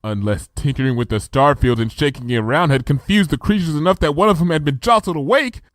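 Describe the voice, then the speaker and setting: A man narrating a comic fan story in a lively storytelling style. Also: a little high, quick pace, no room sound, studio quality. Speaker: fanfiction reader. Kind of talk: dramatic audiobook narration.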